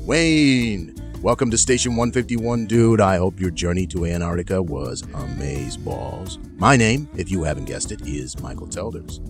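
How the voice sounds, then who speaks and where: A man's voice: sits low, animated, normal speed, hardly any pauses, over music. A man playing a brash, foul-mouthed tech company boss giving an orientation video in an audio drama, with breezy, slangy bro talk. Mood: chummy, hyped.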